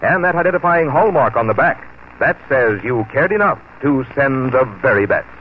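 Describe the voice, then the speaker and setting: A man's voice: mid-range voice, expressive, moderate pace. A man announcing a 1940s radio commercial with a polished, emphatic delivery. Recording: tinny, noisy vintage radio recording.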